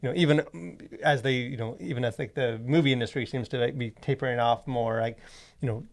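A man in his thirties co-hosting a casual podcast, talking in a halting, wordy way as he thinks aloud, close on a studio mic. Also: mid-range voice, creaky. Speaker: podcast co-host. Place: small indoor podcast studio. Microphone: close boom-arm studio microphone.

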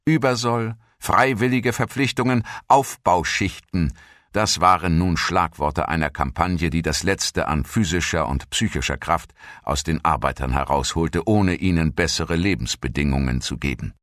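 Deep-voiced man narrating a documentary. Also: normal speed, fairly bright tone, dry recording, studio quality.

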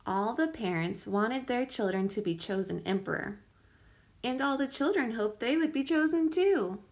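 A woman reading a children's picture book aloud as a teacher would, with careful, expressive storytelling emphasis. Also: fairly high pitch, normal speed.

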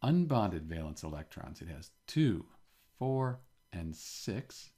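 Low, lilting male chemistry teacher. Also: very animated, slow pace, mellow. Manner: methodical, step-by-step explaining. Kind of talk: informal tutorial explanation.

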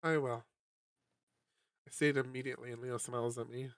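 A streamer reading a visual novel aloud as a soft-hearted, hesitant young man telling his story wistfully. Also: medium pitch, fairly even intonation, a little fast, no echo, studio quality, far from the mic, voice pushed down, close to an everyday voice. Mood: subdued, wistful. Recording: music under the voice.

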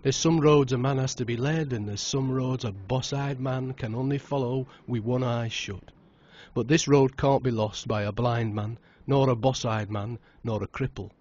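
A man's voice: mid-range voice, fairly even intonation, moderate pace, darkish tone, dull audio, voice pushed up, close to an everyday voice. A man doing a folksy, preachy father. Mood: sententious. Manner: sententious, folksy. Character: proverb-spouting middle-aged father of the groom.

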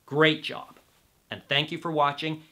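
A man in his fifties hosting a product review to camera, speaking emphatically with deliberate stresses and pauses. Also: fairly high pitch, level tone, sharp tone. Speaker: host and audio reviewer. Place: indoor room set with records on the wall.

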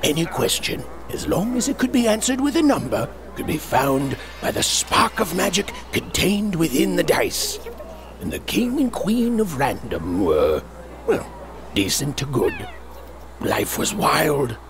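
A theatrical storybook narrator for a whimsical dark game fairy tale. He savours each word slowly, with huge swings in pitch. Noise is clearly heard behind him. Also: big pitch swings, heavily acted. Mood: wistful, playful.

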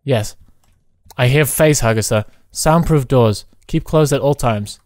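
A man casually commentating on a game he is playing, excitable and given to exclamations. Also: mid-range voice, a little slow, no echo, clean studio sound. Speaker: gamer playing a co-op roleplay map.

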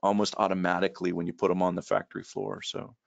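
A middle-aged man with a lowish voice speaks over a video call. He wanders through his stories with restarts and fillers. The audio is dry and muffled. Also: level tone, fast talker, gravelly, no echo. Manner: rambling, repeats words, many fillers. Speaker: guest expert and trainer. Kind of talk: casual storytelling in a video call. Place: home room.

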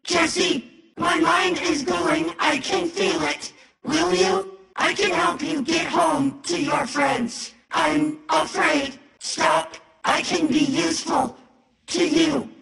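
A woman playing an imperious supercomputer villain in a video game, speaking in measured lines with a reverberant machine effect. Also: very high-pitched, steady tone, vocal fry, fairly bright tone, heavily acted. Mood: pleading, afraid. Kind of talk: dramatic game villain acting. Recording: cavernous echo, distant, music behind.

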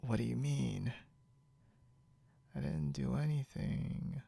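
A man playing a stubborn, boastful, confused drunk young man in an ASMR roleplay, halting and rambling with mumbled, repeated words. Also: medium pitch, dark tone, no echo, full character voice. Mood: stubborn, tipsy. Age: young adult. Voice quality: gravelly, creaky.

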